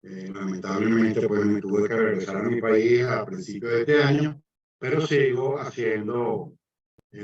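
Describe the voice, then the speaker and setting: A man with a Venezuelan accent giving an online engineering lecture, with frequent hesitations and fillers. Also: medium pitch. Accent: Venezuelan Spanish. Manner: hesitant, many fillers and restarts. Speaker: guest lecturer, civil engineer. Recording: echoey distant muffled mic.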